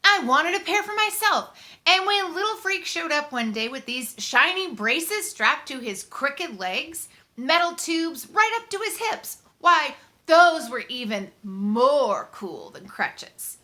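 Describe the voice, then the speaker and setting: A woman reading a story aloud in the first person, conversational and expressive, leaning on key words for dramatic emphasis. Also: high-pitched, slow. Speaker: audiobook reader.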